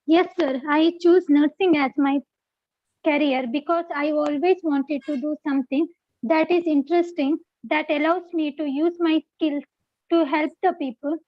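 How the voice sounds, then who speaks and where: A woman's voice: high-pitched, steady tone, normal speed, muffled recording. A woman answering practice interview questions politely as a nursing student. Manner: polite, addresses teacher as sir.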